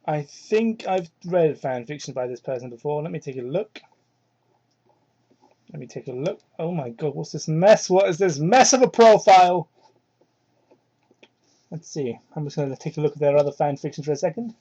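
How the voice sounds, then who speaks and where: A man with a high, mellow voice reads a story aloud in a mock-dramatic way. He breaks off for sarcastic, incredulous commentary and leans on words theatrically. The sound is dull and lo-fi, from a cheap microphone. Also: animated, moderate pace, long pauses, slightly rough. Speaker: host doing a dramatic reading of fan fiction. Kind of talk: mocking read-aloud with ad-libbed commentary. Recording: muffled, low-quality cheap microphone.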